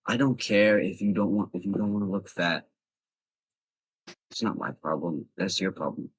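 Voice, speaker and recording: A man with a very low, husky voice talks to his viewers in a loose, chatty way. He gives blunt advice and addresses them directly, like a casual vlog tutorial. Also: normal speed, poor audio. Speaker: vlogger giving voice tips. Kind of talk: casual instructional talk to camera.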